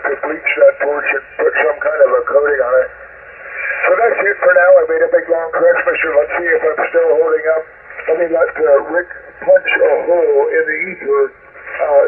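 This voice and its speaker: A man chatting casually as an amateur radio operator on a net, rambling in a halting way. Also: a little high. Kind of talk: casual radio check-in chat. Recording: narrow tinny shortwave radio with loud static.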